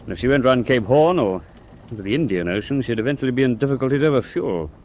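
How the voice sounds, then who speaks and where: A man playing a confident, practical British seafaring officer in a radio war drama, brisk and reasoning. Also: mid-range voice, lively intonation, lo-fi sound, slight background noise, lightly acted. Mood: analytical. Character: confident British naval man, practical, level-headed leader.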